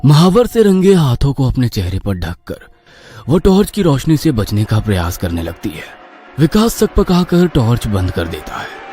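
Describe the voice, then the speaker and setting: A man's voice: medium pitch, big pitch swings, moderate pace, dark tone, soft background music. A man narrating a horror story in a theatrical, suspenseful manner.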